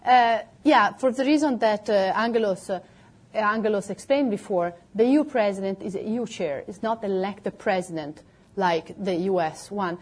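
A woman's voice: mid-range voice, moderate pace. A woman panelist answering audience questions in an explanatory way. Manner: explanatory, with restarts.

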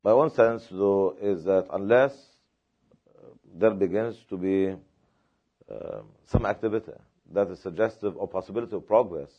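A man from Palestine answering questions at a panel discussion, speaking in a measured, halting way with many fillers and repeated words. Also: low voice, dark tone, breathy edge, muffled recording.

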